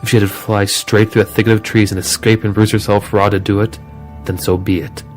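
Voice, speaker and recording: A man's voice: lowish voice, little pitch movement, brisk, soft background music. A man narrating a scripted horror story as a dramatic storyteller.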